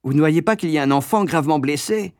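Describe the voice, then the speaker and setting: A man voicing a brisk, imperious and unflappable mother in a storybook reading. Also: a little high, a little mellow, no echo, clean studio sound, pitched above the performer's own voice, natural voice. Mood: indignant, commanding. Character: commanding, unflappable mother of an injured child.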